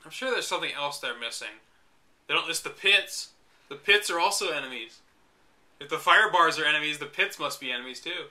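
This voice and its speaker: A man with a high, very bright voice reads from a book, stopping often for sardonic, exasperated remarks. His asides are dry and mocking. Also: brisk. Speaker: reader and commentator. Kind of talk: reading a book aloud with sarcastic commentary.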